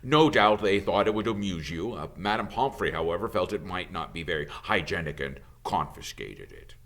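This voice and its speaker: A man voicing a kindly, calm old wizard headmaster in an audiobook, musing and hesitating as he gently reassures. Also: low voice, moderate pace. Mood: amused, genial.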